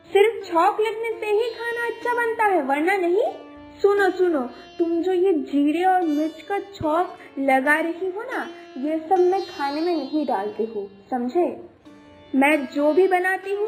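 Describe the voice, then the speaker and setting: A squeaky-high, melodramatic younger sister-in-law from an animated household tale, acted by a woman. She grumbles with sarcasm at an unhurried, drawn-out pace. Music plays behind her whiny, indignant voice. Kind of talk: Hindi animated moral story acting.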